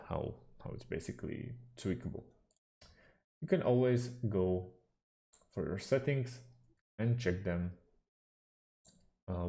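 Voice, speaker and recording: A man whose first language is Slovak, calmly walking through a software tutorial with frequent fillers. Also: low voice, gravelly. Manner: unhurried, hesitant with fillers, slightly non-native phrasing. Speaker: tutorial host. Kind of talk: screen-recorded software tutorial.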